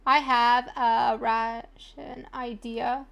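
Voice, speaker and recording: Slow-talking, playful woman streamer. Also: fairly high pitch, level tone, creaky, a bit bright, dull audio. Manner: playful, informal. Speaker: game streamer. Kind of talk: casual gameplay reactions.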